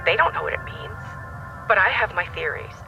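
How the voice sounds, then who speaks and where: A woman playing a dramatic leading-lady actress, telling her story earnestly and haltingly in a TV interview. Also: medium pitch, lively intonation, muffled recording, music in the background, close to an everyday voice. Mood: insistent, mysterious. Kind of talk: TV talk show interview acting in audio drama.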